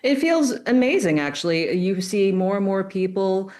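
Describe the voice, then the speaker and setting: A woman's voice: medium pitch, normal speed, darkish tone. A woman giving upbeat, chatty news commentary, with frequent fillers and restarts. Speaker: political commentator.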